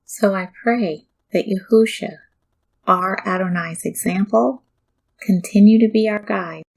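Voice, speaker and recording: A woman hosting a devotional scripture reading, speaking in a reverent, deliberate and formal manner. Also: mid-range voice, gravelly, low-quality recording. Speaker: host and scripture reader. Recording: music bed under the voice. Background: background music.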